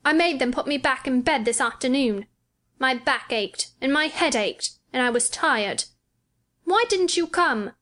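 Lady doing a spoiled sickly little boy from a storybook, high whiny voice, bossy and sorry for himself. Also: expressive, measured pace, a bit bright. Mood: sulky. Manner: petulant, imperious, whining. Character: spoilt, sickly, self-pitying, bad-tempered young boy.

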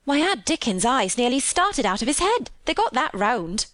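A woman reader puts on a young Yorkshire housemaid for an audiobook. The girl is cheerful and excitable, rambling on in homely dialect. Her voice is lifted and very lively, in a dry recording. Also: high-pitched, moderate pace, dry recording, pitched above the performer's own voice. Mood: chatty, earnest. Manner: eager, rambling, folksy dialect storytelling.

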